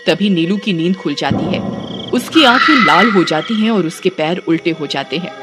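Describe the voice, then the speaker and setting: A woman's voice: on the low side, expressive, moderate pace. Woman narrating a scripted story. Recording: music behind the voice.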